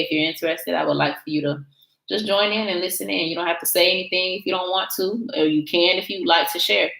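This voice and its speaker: A woman hosting a casual group call, reading aloud and asking questions in a relaxed way. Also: fairly low pitch. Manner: reads passages aloud, asks questions, relaxed. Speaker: host. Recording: echoey room.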